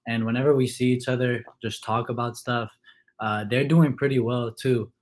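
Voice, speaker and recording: A young man from Kuwait, a college football player, answering interview questions in a relaxed way with many fillers. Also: medium pitch, normal speed. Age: young adult.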